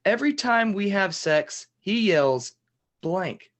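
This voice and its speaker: A man's voice: high-pitched, big pitch swings, fairly bright tone, a little hiss or hum, heavily acted. A man playing an upbeat, teasing parody game show host who stretches out questions with theatrical emphasis. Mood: showy, teasing. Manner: repeats questions with drawn-out emphasis.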